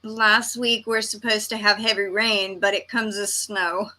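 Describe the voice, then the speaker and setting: A woman's voice: medium pitch, flat delivery, a little slow, sharp tone. A woman from Texas, hosting a livestream and casually reading comments aloud. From Texas, United States. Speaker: livestream host.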